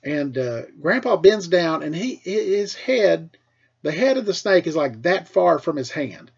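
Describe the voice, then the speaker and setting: A middle-aged American man, a teacher with a husky voice, tells casual personal stories for children in an animated way, acting things out as he goes. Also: a little high, unhurried, highs cut off. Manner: animated, acts out dialogue and sound effects. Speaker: school teacher telling stories to pupils.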